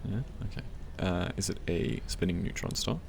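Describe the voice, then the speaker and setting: A man playing a tender, teasing partner in a soft-spoken ASMR roleplay, soothing and gentle as he helps a loved one study. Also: sits low, moderate pace, creaky, a little hiss or hum. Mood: patient, helpful. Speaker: ASMR voice actor. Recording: faint background library ambience.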